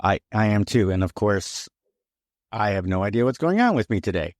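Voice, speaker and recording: Low-voiced male podcast host, dry sound. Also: sing-song, moderate pace, no room sound. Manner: scripted upbeat intro, then loose chatty questions. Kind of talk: podcast hosting.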